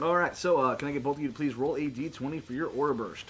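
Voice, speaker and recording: A man's voice: mid-range voice, fast talker, fairly bright tone. A man acting as game master, narrating and refereeing a tabletop role-playing game. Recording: music playing behind the voice. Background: music.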